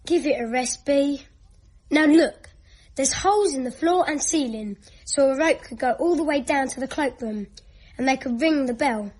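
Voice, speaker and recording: A woman's voice: high-pitched, moderate pace, darkish tone, lightly acted. A female performer playing a bossy child who tells gruesome secrets in a radio drama.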